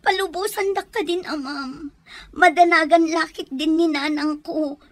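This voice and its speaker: Actress as scared young maid held captive; very high, meek, pleading radio drama voice. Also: female voice, steady tone, measured pace, no echo. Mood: frightened, meek. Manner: pleading, deferential. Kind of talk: Ilocano radio drama acting.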